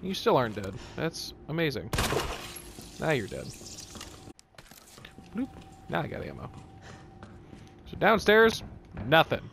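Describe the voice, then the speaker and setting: A man's voice: a little high, wildly swooping pitch, unhurried, gravelly, pauses often, background noise. A man giving casual let's play commentary, muttering to himself in a wry, joking way and swearing now and then. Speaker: video game streamer.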